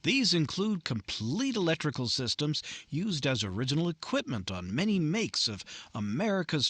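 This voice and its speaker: A man playing an upbeat radio announcer of the 1950s, with a polished, emphatic pitchman's delivery. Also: fairly bright tone, dry recording, heavily acted.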